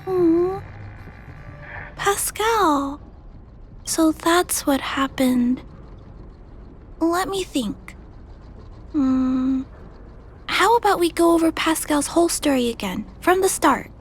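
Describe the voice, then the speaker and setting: A woman voicing a gentle, curious little girl in a video game, musing thoughtfully and wondering aloud. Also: very high voice, animated, slow pace, pauses often, a little hiss or hum, full character voice. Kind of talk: video game character dialogue.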